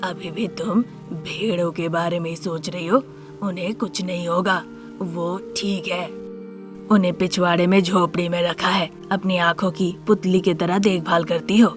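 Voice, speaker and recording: A calm older husband, played by a woman storyteller, with a lowered voice. He is soothing and measured, a reassuring figure from a family moral tale. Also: music in the background, lightly acted.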